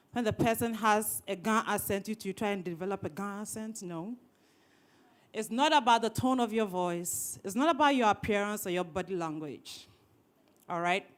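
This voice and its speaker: A woman leading a workshop session, lively and teasing, often repeating people's words back to them, speaking into a microphone to an audience. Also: mid-range voice. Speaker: workshop trainer. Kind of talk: interactive training session. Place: training room with an audience.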